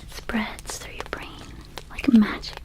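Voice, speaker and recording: A woman voicing a gentle vampire dispensary keeper in a whispered ASMR roleplay, soothing and unhurried, with childlike wording. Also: natural voice, rough recording. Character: gentle female vampire dispensary keeper offering magic herbs.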